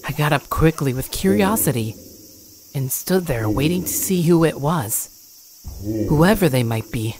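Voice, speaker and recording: A woman's voice: low voice, animated, measured pace, a crowd in the background. A woman giving a scripted first-person horror story narration.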